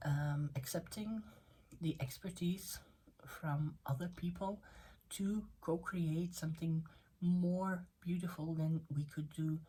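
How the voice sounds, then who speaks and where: A woman whose first language is Dutch, giving a tarot reading in a halting way, with many fillers and restarts, and slipping into a soft, half-whispered tone at times. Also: sits low, steady tone, breathy edge, rough recording. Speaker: tarot reader. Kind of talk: spoken tarot reading video.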